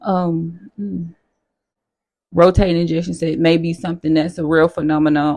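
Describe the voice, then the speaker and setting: A nurse chatting casually with her viewers in a how-to vlog, talking straight to them as y'all, her voice hoarse. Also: deep voice. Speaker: nurse sharing her own experience.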